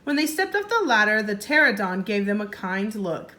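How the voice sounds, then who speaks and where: A woman teacher reading a story aloud to young children in an expressive way, pausing to explain and ask questions. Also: medium pitch. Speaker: first grade teacher.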